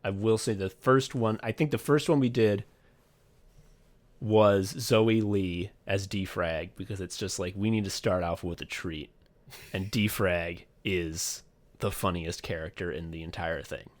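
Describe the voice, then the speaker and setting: A man's voice: deep voice, unhurried. A man co-hosting a podcast, chatting casually and telling rambling, profane behind-the-scenes stories with lots of restarts. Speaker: podcast co-host.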